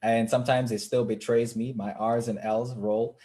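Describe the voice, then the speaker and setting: A young man from Kenya, an author being interviewed, speaking earnestly with frequent pauses. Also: medium pitch, flat delivery, dark tone. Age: young adult. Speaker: author and writing coach, guest. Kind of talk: casual interview talk.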